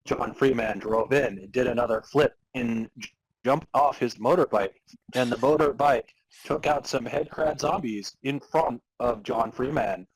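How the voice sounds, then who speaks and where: A man's voice: medium pitch, measured pace, a little hiss or hum, low-quality recording. A man reading amateur fan fiction aloud as narrator, in a mock-serious dramatic storytelling style. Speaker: podcast host reading as narrator.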